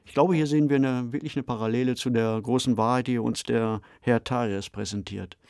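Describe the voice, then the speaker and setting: A man's voice: medium pitch, fairly even intonation, fairly quick, darkish tone, clean tone, dry recording, clean studio sound. A man co-hosting a discussion podcast. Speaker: podcast co-host.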